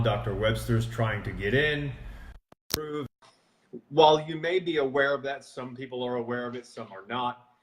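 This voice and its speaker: A man hosting a live online health chat over a video call, rambling and restarting his sentences. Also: rough recording. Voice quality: booming voice. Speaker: host.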